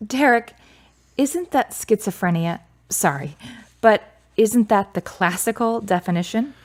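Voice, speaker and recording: A woman's voice: medium pitch, expressive, measured pace, lightly acted. A woman playing a grieving, frank young woman in a radio drama, with dramatic, earnest phrasing. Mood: teasing.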